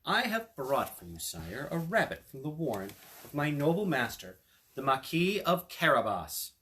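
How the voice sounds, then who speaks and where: A sly storybook cat voiced by a man speaks with courtly confidence. The pitch is lively and swooping, with a polite, persuasive manner made to flatter. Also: normal speed.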